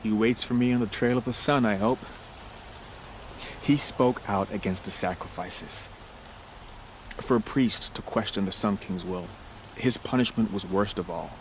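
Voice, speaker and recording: An actor playing a humble, remorseful priest in a video game, speaking in a measured, formal, earnest way. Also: male voice, fairly low pitch, a little hiss or hum, close to an everyday voice, rough recording. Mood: mournful, reverent. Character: solemn, grieving young priest, humble and remorseful.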